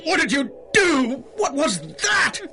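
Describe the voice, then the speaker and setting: High-voiced, theatrical sorcerer villain. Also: male voice, very animated, slow, very noisy, heavily acted. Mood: furious, desperate. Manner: theatrical, pompous, menacing. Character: malevolent scheming sorcerer villain posing as humble old shopkeeper. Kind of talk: 1930s-style radio drama acting.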